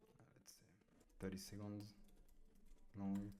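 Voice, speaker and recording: A man with a French accent and a low, even, fairly monotone voice walks through a software demo in a casual, unscripted way. He halts often with fillers as he types, and faint keyboard clicks sit behind him. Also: slow, a little hiss or hum. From France. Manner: hesitant, many fillers while typing. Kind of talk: casual screen-recorded tutorial.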